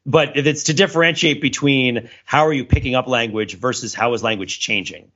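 Bright-voiced man, discursive podcast explainer. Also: mid-range voice, steady tone, brisk, muffled recording. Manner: rambling, discursive explainer who often checks in with "right?". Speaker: podcast co-host. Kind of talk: casual analytical podcast discussion.

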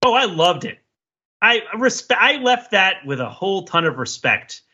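A man's voice: high voice, sing-song, measured pace, pushed and bright, slightly rough, lo-fi sound. A man talking casually and emphatically as a podcast panelist, often acting out imagined quotes and chuckling as he discusses a TV show.

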